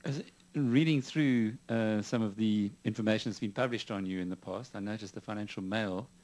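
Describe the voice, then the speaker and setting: Deep-voiced interviewer. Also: male voice, normal speed, darkish tone. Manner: probing, wry, conversational questions. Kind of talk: business interview.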